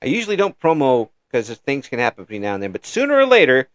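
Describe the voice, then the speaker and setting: A man hosting a casual interview, talking in halting restarts and chuckling often. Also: mid-range voice, wildly swooping pitch, no echo. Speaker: interview show host. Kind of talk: casual video-call interview chat.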